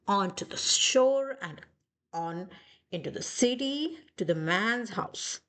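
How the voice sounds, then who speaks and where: A woman reading a children's storybook aloud in an expressive, deliberate narrating style. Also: a little high.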